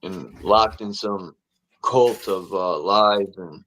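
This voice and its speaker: Low-voiced man hosting a casual stream. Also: noisy background. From Connecticut, USA. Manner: loose and rambling, with halting restarts. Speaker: livestream panel host. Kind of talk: casual livestream chat.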